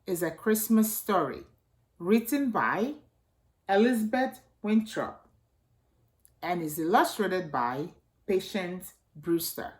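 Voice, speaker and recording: A woman hosting storytime, narrating a children's picture book with expressive, deliberate phrasing for young listeners. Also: fairly low pitch, slightly rough. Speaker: storytime host and reader.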